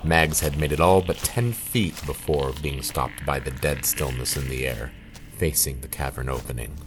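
A man's voice: extremely low pitch, moderate pace, noisy background. A man narrating a scripted audio drama in a dramatic, suspenseful storytelling manner. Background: music.

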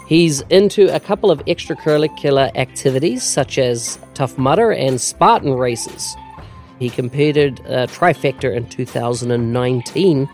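A man's voice: mid-range voice, darkish tone. A man hosting a podcast, reading an intro and chatting with a guest in a conversational way. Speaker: podcast host. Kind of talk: podcast interview.

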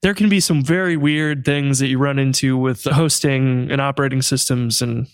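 Laid-back man hosting with a smooth voice. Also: mid-range voice, normal speed, dry recording. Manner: relaxed, laughs often, rambling with many "like"s. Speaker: podcast host. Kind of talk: casual podcast chat.

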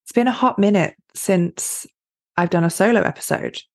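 A woman hosting her own podcast, a life coach giving a chatty, upbeat solo pep talk with a slightly husky, raspy voice. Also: medium pitch, moderate pace, a little mellow, dry recording.

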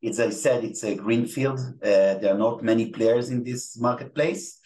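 Israeli man, halting English. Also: medium pitch, steady tone, warm tone, a little hiss or hum. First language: Hebrew. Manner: hesitant, many fillers and restarts. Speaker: guest. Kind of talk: podcast interview.

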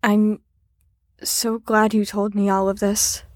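A woman's voice: mid-range voice, flat delivery, takes it slowly, dry recording, studio quality, lightly acted. A woman in an audio drama playing an earnest, caring facility staffer who talks in a reassuring, sincere, slightly rambling way. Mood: sympathetic.

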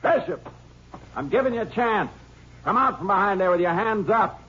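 An actor playing a terse, commanding Texas Ranger in a 1950s radio western. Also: male voice, high voice, animated, moderate pace, noisy background. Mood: hard, commanding. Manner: terse, authoritative. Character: steady, commanding Texas Ranger lawman hero. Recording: muffled 1950s radio recording, music behind.

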